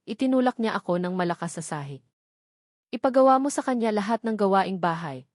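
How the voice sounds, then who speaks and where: Woman, Filipino accent, audiobook narrator, dramatic scripted storytelling, mid pitch, dry sound. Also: a little mellow, dry recording.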